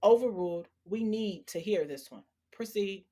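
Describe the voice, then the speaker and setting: A woman's voice: mid-range voice, normal speed, warm tone, close to an everyday voice. A woman playing an authoritative judge presiding in a courtroom drama, formal and commanding. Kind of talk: audio drama acting.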